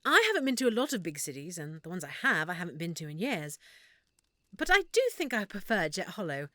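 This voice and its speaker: Casting: woman as a nervous, stammering half-elf merchant, lively pitch, dry close sound. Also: brisk, dry recording. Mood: guarded. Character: shy, flustered half-elf woman shopkeeper. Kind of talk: audio roleplay acting.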